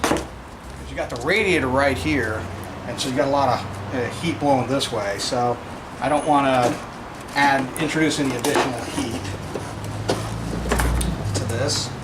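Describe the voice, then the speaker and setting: A man giving an unscripted, halting step-by-step installation walkthrough, explaining as he works. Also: medium pitch. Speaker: DIY vlogger. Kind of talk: hands-on installation walkthrough, explaining as they work. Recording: clearly noisy location recording with ambient sound.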